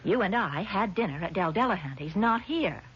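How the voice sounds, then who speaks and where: A woman's voice: medium pitch, lively intonation, moderate pace, husky. An actress playing an agitated, confused woman in a 1970s radio drama, emphatic and indignant but faltering when doubted. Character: agitated, confused woman insisting on her memory. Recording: muffled vintage radio broadcast, faint noise.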